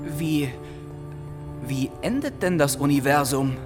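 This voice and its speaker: Radio drama earthling: high-pitched, earnest and troubled, hesitant delivery, faint music behind. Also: male voice, expressive, slow. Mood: hesitant, puzzled. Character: earnest, troubled earthling man seeking answers.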